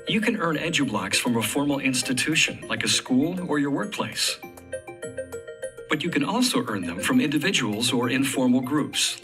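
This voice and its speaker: A man reading scripted narration for an explainer video. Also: mid-range voice. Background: background music.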